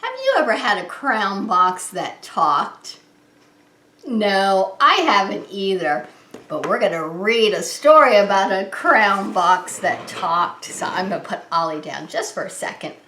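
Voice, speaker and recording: A woman's voice: mid-range voice, lively intonation, a little slow, sharp tone. A woman hosting story time as a preschool teacher, reading a picture book aloud and explaining it simply and expressively to young children. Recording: roomy, echoing indoor sound.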